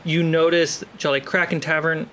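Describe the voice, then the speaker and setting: A man narrating as game master for a tabletop game, relaxed and conversational. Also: on the high side, normal speed, slight background noise.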